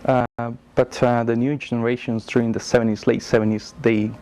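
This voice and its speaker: A man from Mexico, telling his family history in a measured oral history interview. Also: medium pitch, level tone, darkish tone. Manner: measured, with pauses and fillers.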